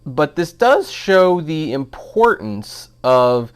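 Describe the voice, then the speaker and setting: A man's voice: on the high side, very animated, takes it slowly, clear, resonant tone. A man hosting a film review, talking informally and giving his opinions in a conversational commentary style.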